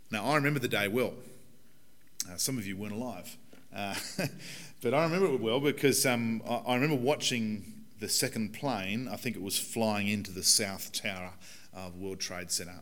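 A man in his forties, a pastor with a croaky, hoarse voice, giving a conversational and earnest sermon in a church. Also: lowish voice, a bit bright. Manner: conversational, earnest preaching with fillers. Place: church hall.

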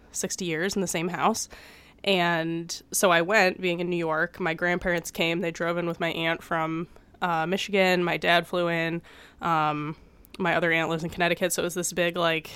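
A woman's voice: lowish voice, little pitch movement, normal speed, fairly bright tone, clean tone. A woman in her twenties co-hosting a casual podcast, getting over a cold and telling a story with lots of fillers. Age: 20s. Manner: chatty, many fillers. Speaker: podcast co-host. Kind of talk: casual chat. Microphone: studio microphone.

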